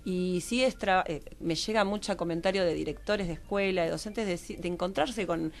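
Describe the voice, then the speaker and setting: A woman's voice: fairly low pitch, moderate pace. A woman with an Argentine Rioplatense accent speaking reflectively as a radio interview guest, explaining in a conversational way and often seeking agreement with tag questions. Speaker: guest, psychology professional who trains others.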